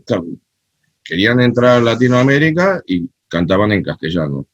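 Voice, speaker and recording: A man with an Argentine accent, a guest telling animated, opinionated stories in a casual interview chat. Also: medium pitch, normal speed, no room sound, lo-fi sound. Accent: Argentine Spanish. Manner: animated storyteller, frank and opinionated. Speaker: guest, cumbia festival creator.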